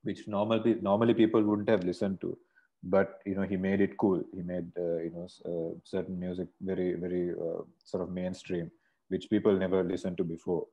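A man's voice: low voice, little pitch movement, a little fast, mellow, muffled recording. A man from Sri Lanka, a singer, talking as a guest over a video call in a casual, rambling way with many restarts. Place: bright room indoors. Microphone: earphone mic on a video call.

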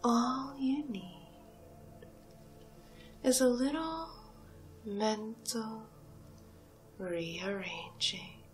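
A woman performing a whispered ASMR hypnosis roleplay as a haughty, mesmerizing alien cow, breathy and drawn-out with long pauses. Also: on the high side, steady tone, soft background music. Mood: hypnotic, soothing.

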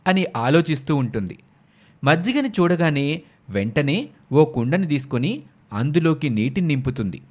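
A man's voice: on the high side, sing-song, moderate pace. A man narrating a scripted moral story as a dramatic storyteller.

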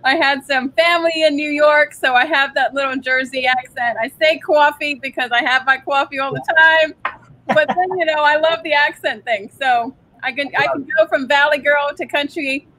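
A woman's voice: high voice, fairly even intonation, normal speed, pushed and bright, rough recording. A woman in her forties from San Diego, a bubbly, giggly interview guest speaking over a webcam from a home office. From San Diego, USA. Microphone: webcam on video call.

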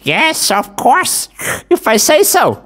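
A man voicing Death as a sly male wagon driver with a high, creaky, strained voice and a coaxing manner. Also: huge pitch swings, slow pace, a bit bright, exaggerated voice. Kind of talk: storytelling voice.

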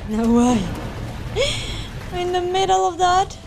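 A woman's voice: very high-pitched, lively intonation, slow, vocal fry. A woman reacting casually to a game, giggly and exclamatory, with short teasing remarks. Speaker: streamer reacting to game cutscenes. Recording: loud game music and sound behind.

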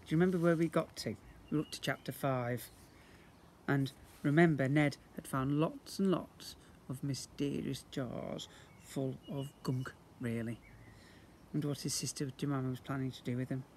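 A British man with a fairly high voice reads a children's story outdoors, with faint noise behind him. He is expressive and theatrical, stretching key words for emphasis and acting out the sound words. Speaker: teacher reading a story to young children. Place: outdoors beside brick houses. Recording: noisy outdoor amateur video recording.

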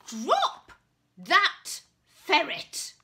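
Storybook knight voiced by a woman: hearty, eager, declamatory, forceful tone. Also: high voice, wildly swooping pitch, pitched above the performer's own voice, exaggerated voice. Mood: commanding. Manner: declamatory, exclaiming with drawn-out emphasis. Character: bold, hearty male knight eager for battle.

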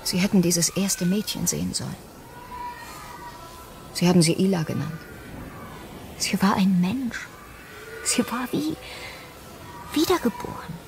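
A woman's voice: mid-range voice, lively intonation, measured pace, long pauses, music in the background, close to an everyday voice. A woman playing a haunted, guilt-ridden geneticist. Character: guilt-ridden female geneticist, mother, earnest. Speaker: scientist. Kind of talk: radio play acting.

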